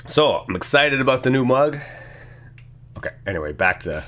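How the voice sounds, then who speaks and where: A man with a hoarse, congested voice from a sinus infection, casually chatting through a live stream while building a set and reading the chat. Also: mid-range voice, sing-song, moderate pace, a bit bright, slight background noise. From Canada. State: losing his voice from a sinus infection.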